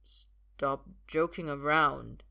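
A woman voicing a drunk, drugged young man in an audiobook, confused and halting, with slurred words. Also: deep voice, flat delivery, vocal fry, pushed and bright, heavily acted. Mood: bewildered. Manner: halting, stammering.

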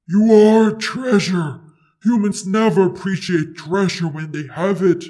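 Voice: medium pitch, measured pace, warm tone, natural voice. A narrator voicing a huge, proud, possessive dragon in an audiobook story, with imperious, stilted formal speech. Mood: possessive, haughty.

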